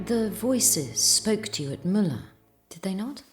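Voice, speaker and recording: An actress playing a concerned, gentle questioner in a solemn audio drama, probing carefully. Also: female voice, mid-range voice, big pitch swings, measured pace, over loud music.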